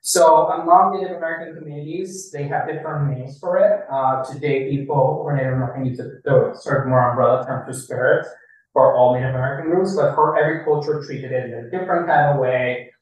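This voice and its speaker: A man's voice: fairly high pitch, fairly even intonation, fairly quick. A middle-aged man from Ecuador giving a public lecture, explaining step by step and often checking in with "right?". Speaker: professor giving a public talk. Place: library meeting room. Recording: echoey room from far off.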